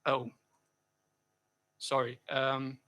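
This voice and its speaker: A man giving a technical conference talk and answering questions, speaking haltingly with frequent self-corrections. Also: mid-range voice, completely flat delivery, sharp tone. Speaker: conference speaker presenting a project.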